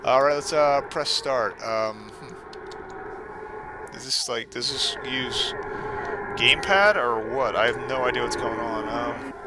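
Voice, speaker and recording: A man's voice: medium pitch, a little slow, heavy background noise. A man hosting a gaming stream, mixing casual commentary and mock dramatic reading with sarcastic, self-deprecating asides.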